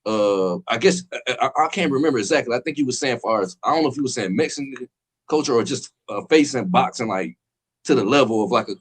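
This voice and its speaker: A man's voice: medium pitch, expressive, fast talker, breathy edge. A man co-hosting a casual boxing chat, rambling in a slangy way and constantly checking in with his listeners. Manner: rambling, slangy, fills with "you know what I'm saying". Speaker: podcast co-host.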